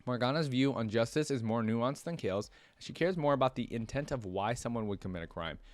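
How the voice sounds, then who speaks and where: A man's voice: mid-range voice, brisk, no echo. A man hosting a reaction video, reading aloud and commenting in an informal, fan-like way.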